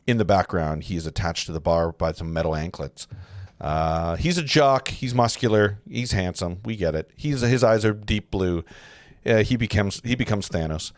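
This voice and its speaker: A man narrating a film script at a live table read, halting and often correcting himself. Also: deep voice, no room sound. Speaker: narrator reading stage directions.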